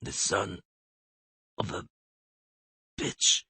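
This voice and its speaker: A man's voice: extremely slow, bright, forceful tone, heavily acted. A man voicing a dying hired killer, grunting. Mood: agonized. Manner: weak grunting, gasping words. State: dying, in pain. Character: dying male professional murderer, groaning.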